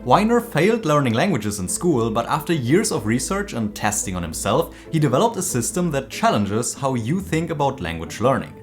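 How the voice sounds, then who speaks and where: A man in his late twenties with a German accent, hosting a scripted video essay on learning languages in a lively, animated way. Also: medium pitch, moderate pace, few pauses, soft background music.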